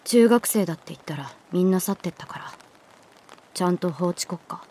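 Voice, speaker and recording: A woman playing a guarded, sullen middle-school runaway girl in a Japanese audio drama, curt in speech with moody, breathy murmured asides. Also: fairly low pitch, animated, a little fast, dark tone. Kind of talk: Japanese audio drama acting with introspective narration.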